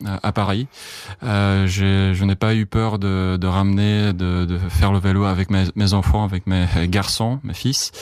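A man's voice: sits low, darkish tone, smooth, no room sound, clean studio sound. A Czech-native man speaking careful, hesitant French, with many fillers and restarts as he answers interview questions. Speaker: radio journalist.

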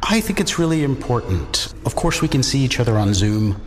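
A middle-aged man, an academic and translator, answering interview questions in a measured, thoughtful way with some restarts. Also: medium pitch, very animated. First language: English. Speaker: Czech studies lecturer and translator. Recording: long reverb, faint noise.

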